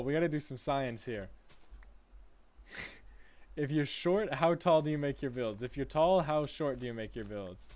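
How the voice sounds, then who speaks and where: Dude rambling on his game stream, goofy and chatty, mid-pitched voice. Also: no room sound. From United States. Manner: chatty and playful, reading chat aloud and laughing often. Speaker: livestreamer. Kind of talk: casual gaming livestream chat.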